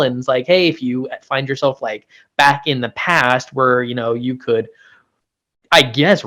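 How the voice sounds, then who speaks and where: A man's voice: mid-range voice, fairly bright tone. A man with a mid-range voice talks through a review in a casual chat. He rambles conversationally and leans on his points with emphasis, like a co-host among friends. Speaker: pop-culture show co-host.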